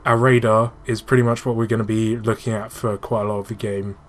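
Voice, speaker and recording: A man's voice: fairly low pitch, flat delivery, a little fast. A man hosting casual gaming commentary, swearing freely and explaining things with enthusiasm. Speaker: host playing and explaining a video game. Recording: clearly noisy room recording.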